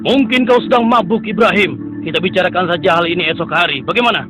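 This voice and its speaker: A man's voice: high voice, fast, over music, close to an everyday voice. A man voicing a stubborn male townsman who scorns and threatens the prophet in a dubbed cartoon. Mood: scornful.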